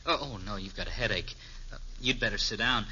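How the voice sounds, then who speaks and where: Polite young male sitter, earnest and animated, forceful bright tone, faintly noisy vintage radio sound. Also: on the low side, brisk. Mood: eager, flustered. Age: young adult. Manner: deferential, earnest. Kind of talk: 1950s radio sitcom acting. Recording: dull old radio recording, faint noise.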